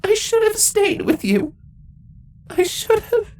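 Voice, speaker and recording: A man voicing a grieving changeling mother in a trembling, pleading falsetto. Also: big pitch swings, takes it slowly, a little mellow, a little hiss or hum, full character voice.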